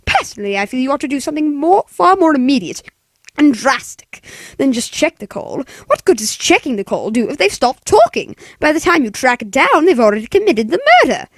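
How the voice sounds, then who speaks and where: A woman's voice: sits high, sing-song, fairly quick, no room sound. A woman playing an anxious, demanding bedridden wife in 1940s radio play style, with fretful, stammering, melodramatic delivery. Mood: anxious, insistent.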